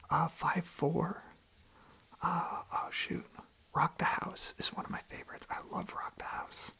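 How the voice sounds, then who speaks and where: A breathy whisper with a heavy creak at its edges, at a middling pitch. The delivery is sleepy, gentle and casual, an ASMR ramble that circles back over the same phrases. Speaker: ASMR video creator. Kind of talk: ASMR, softly rambling casual chat while tapping on objects. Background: tapping and clicking on objects.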